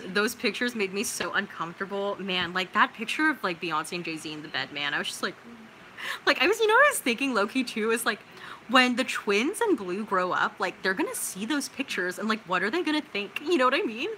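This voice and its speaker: A young American woman from California hosting a casual livestream, reading out viewer comments and reacting in an informal, expressive, slangy way. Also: medium pitch, slight background noise, low-quality recording. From California, United States. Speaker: livestream host.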